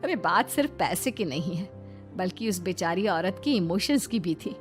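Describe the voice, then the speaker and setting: A woman's voice: fairly low pitch, expressive, normal speed, lightly acted. A woman voicing an earnest, hardworking mother-in-law who sews, in a family story. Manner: earnest, explaining. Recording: faint background music.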